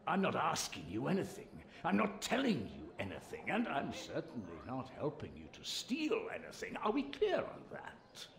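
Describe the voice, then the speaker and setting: An actor playing a crafty, stern royal spymaster in a video game, speaking formally and deliberately. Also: male voice, fairly high pitch, wildly swooping pitch, echoey room. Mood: guarded, warning. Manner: formal, deliberate, measured diction. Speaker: spymaster character.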